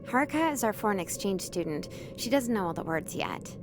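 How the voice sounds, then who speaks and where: A woman's voice: medium pitch, expressive, a little fast, background noise, lightly acted. A woman playing an earnest, naive, encouraging female student in a visual novel, with a hesitant, guileless way of talking. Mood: concerned. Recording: clear music behind the voice.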